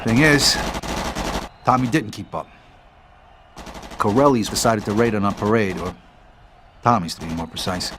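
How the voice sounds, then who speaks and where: A man's voice: lowish voice, moderate pace, long pauses, background noise, close to an everyday voice. A man playing a world-weary, foul-mouthed mobster telling his story for a crime game. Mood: wry. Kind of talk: conversational crime-story narration.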